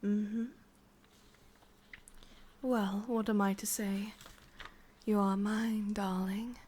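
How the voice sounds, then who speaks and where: A woman's voice: medium pitch, little pitch movement, very slow, a little mellow, slight background noise. A woman with an English accent playing a possessive, sadistic ancient witch in a whispered ASMR roleplay, coaxing and controlling. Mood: possessive, teasing.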